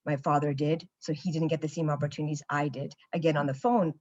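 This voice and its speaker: A woman's voice: deep voice, level tone, fast talker, a little mellow, dull audio. A young Canadian woman with a Canadian accent, speaking as a panelist and reflecting earnestly on her own experiences, with frequent "you know" fillers. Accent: Canadian English.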